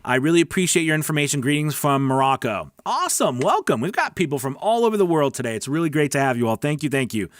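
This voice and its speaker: A man's voice: fairly high pitch, sing-song, fast talker, few pauses, no echo. Man coaching casually, close dry sound. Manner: conversational, emphatic, repeats words and checks in with "right?" and "okay?". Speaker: voice-over coach hosting a live Q&A stream. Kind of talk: casual instructional livestream chat, answering viewer questions.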